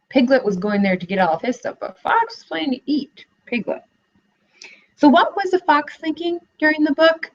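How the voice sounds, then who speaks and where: A woman's voice: mid-range voice, muffled recording. A young woman student teacher giving a read-aloud lesson for children, asking encouraging questions, recorded on a laptop webcam in a living room.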